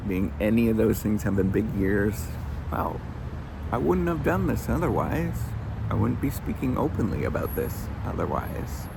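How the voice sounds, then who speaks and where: Creaky-voiced man giving earnest advice. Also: sing-song, background noise. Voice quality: squeaky, squawky. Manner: earnest, halting with repeated phrases and pauses. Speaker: professor giving motivational advice. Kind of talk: informal motivational monologue to viewers.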